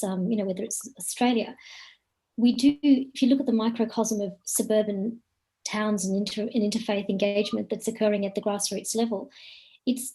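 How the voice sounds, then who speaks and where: A woman's voice: mid-range voice, mellow, poor audio. A woman speaking earnestly and emphatically on a discussion panel.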